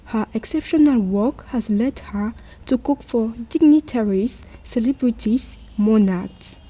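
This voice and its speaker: A woman's voice: a little high, a little slow, dark tone. A woman reading a scripted profile as a voice-over, muffled, with music behind her. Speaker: voice-over narrator. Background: faint music.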